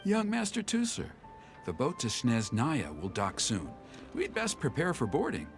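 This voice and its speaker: A man's voice: medium pitch, huge pitch swings, a little slow, music in the background. A man playing a polite, deferential subordinate escort in a video game, speaking formally. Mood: dutiful. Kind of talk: game voice acting.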